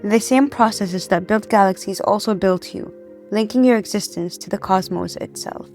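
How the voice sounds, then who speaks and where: A woman's voice: mid-range voice, normal speed, darkish tone. Woman, podcast host, eager, upbeat, self-described nerd, faint music behind. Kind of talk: enthusiastic scripted intro, then interview questions.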